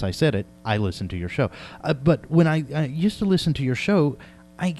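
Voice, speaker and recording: Guy interviewer cracking jokes, real lively pitch, slight hum on the audio. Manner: wisecracking, interjects quips. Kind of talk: casual joking chat.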